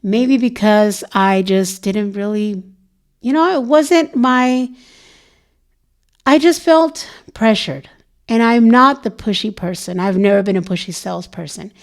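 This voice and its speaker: A hoarse woman vlogging in a casual, rambling chat to her viewers, full of "you know" fillers. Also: mid-range voice, a little slow.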